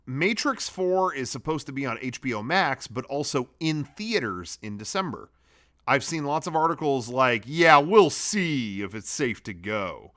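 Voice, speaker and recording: A man's voice: mid-range voice, sing-song, measured pace, fairly bright tone. A man hosting a comedy web show, reading out his own drafts and adding blunt, sweary, casual commentary.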